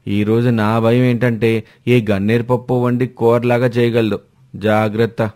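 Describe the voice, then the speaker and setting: A man's voice: medium pitch, little pitch movement, moderate pace, a little mellow, natural voice. A man voicing a sarcastic grown son who mocks his wife. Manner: sarcastic, mocking.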